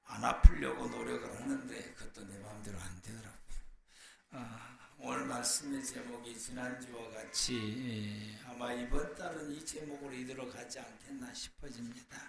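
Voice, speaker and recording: A man preaching a church sermon as a pastor, hoarse from a cold, in a deliberate, explanatory way with pauses. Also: medium pitch, fairly even intonation. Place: church, speaking to a congregation. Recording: echoey room with faint background noise.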